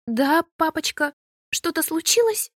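A woman's voice: very high voice, lively intonation, no echo, clean studio sound, pitched above the performer's own voice. A woman voicing a young, mischievous mermaid princess who pouts, wheedles and excuses herself. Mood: wheedling. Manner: bright, quick, wheedling, pouty.

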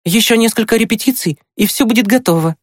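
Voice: mid-range voice, expressive, moderate pace, no room sound, studio quality, pitched above the performer's own voice, close to an everyday voice. An audiobook narrator voicing an eager, boastful boy who is finishing primary school. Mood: cheerful, boastful. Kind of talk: acted audiobook dialogue.

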